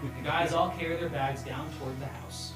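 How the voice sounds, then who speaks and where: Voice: mid-range voice, fairly even intonation, normal speed. A person casually reading stage directions at a comedy table read, stumbling and adding amused asides. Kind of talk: scripted narration, read casually with improvised asides. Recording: echoey room, distant mic, loud music behind.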